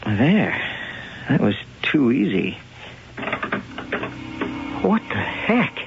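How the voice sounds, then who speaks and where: An actor playing an earnest, prying police lieutenant in a radio mystery drama, conversational and insistent. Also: male voice, mid-range voice, big pitch swings, very slow, gravelly, music in the background, full character voice. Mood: curious, muttering. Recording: dull, hissy 1980 radio broadcast.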